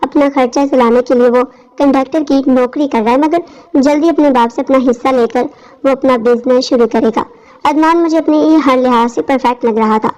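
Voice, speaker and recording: A woman's voice: high-pitched, steady tone, normal speed, a little mellow. A woman reading a scripted first-person story as its narrator. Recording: dull lo-fi audio.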